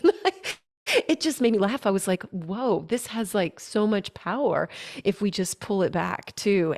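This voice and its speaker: A woman's voice: lowish voice, a little mellow. A woman hosting a podcast interview in a chatty, conversational way, often tagging her sentences with "you know" and "right?". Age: adult parent.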